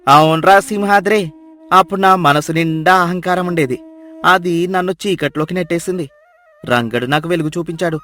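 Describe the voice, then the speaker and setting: Man playing loud, blustering village headman. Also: a little high, a little fast, faint music behind. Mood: hearty. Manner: emphatic, blustering, commanding. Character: boastful loud old village headman, gullible, later humbled. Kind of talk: Telugu animated moral story acting.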